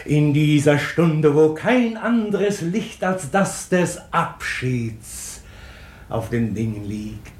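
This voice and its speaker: A man's voice: fairly high pitch, animated, a little mellow, a little hiss or hum. An actor playing a man solemnly addressing a princess in a radio drama. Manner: solemn, measured delivery. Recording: echoey reverberant space.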